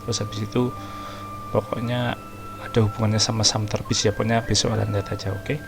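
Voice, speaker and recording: Indonesian male gaming host, creaky voice, mid pitch, informal commentary, English phrases mixed in, loud game music behind. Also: level tone, fast. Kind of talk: casual gameplay commentary. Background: video game music.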